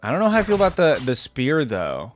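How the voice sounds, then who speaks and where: A man's voice: fairly high pitch, huge pitch swings, brisk, a little hiss or hum. A man giving casual reaction commentary as a video host, musing and thinking aloud. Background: game music and sound effects.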